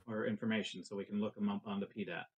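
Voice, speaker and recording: A man's voice: on the low side, little pitch movement, quick pace, breathy edge, muffled recording. A man talking casually as a player in a tabletop roleplaying game.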